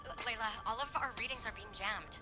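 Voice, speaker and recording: A woman playing a cautious female doctor and scientist in a game cutscene, analytical and quick to warn. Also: on the high side, music in the background, close to an everyday voice. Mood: worried. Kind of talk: video game cutscene acting.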